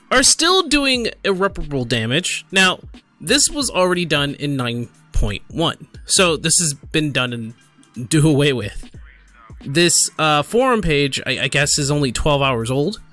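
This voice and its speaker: A man giving casual, unscripted gaming commentary and reading aloud, in a halting, apologetic manner. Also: fairly high pitch, sharp tone. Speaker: gaming video creator.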